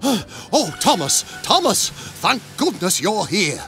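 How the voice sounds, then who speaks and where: A man's voice: high-pitched, sing-song, slow, sharp tone, soft background music, exaggerated voice. A man voicing a fussy, flustered railway boss in a children's cartoon, blustering and dramatic. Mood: alarmed.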